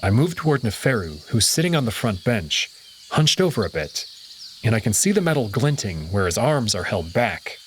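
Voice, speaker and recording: Male narrator, low-pitched, expressive pitch, dramatic first-person storytelling. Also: moderate pace, slight background noise. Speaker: narrator of a visual novel.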